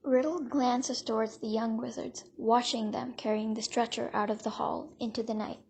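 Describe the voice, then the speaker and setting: A woman's voice: a little high, flat delivery, moderate pace, vocal fry, darkish tone, slight background noise. A woman narrating an audio drama from a script in a dramatic storytelling style.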